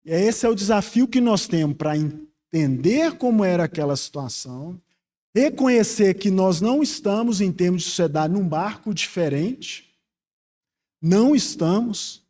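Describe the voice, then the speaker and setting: High-pitched Brazilian male preacher. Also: lively intonation, moderate pace, mellow. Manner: earnest, reflective, rhetorical questions to the congregation. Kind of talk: expository Bible teaching sermon.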